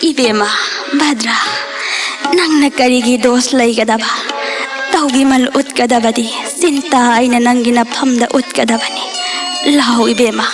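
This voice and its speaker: A gentle queen of myth speaks in a high voice over clear music. She is devoted, respectful and heartfelt, with the formal phrasing of audio drama and a very fast flow. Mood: tender, gracious. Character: devoted, gracious, gentle queen and faithful wife. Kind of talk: mythological audio drama acting.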